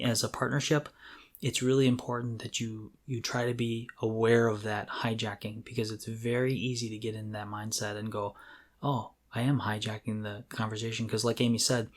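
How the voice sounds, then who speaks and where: A man with a mid-range voice talks as a podcast co-host. His style is casual and conversational. He is candid about himself and jokes at his own expense. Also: mid-range voice. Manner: self-deprecating, jokey and candid.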